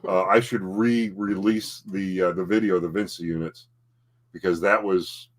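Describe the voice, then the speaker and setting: A man co-hosting a casual home livestream, talking in halting bursts and often repeating his words. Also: sits low, steady tone. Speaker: livestream co-host, collectibles seller.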